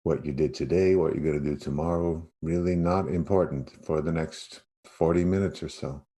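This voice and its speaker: A man leading a guided meditation as a yoga nidra teacher, speaking in an unhurried way with spacious pauses. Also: rumbling low, fairly even intonation, warm tone.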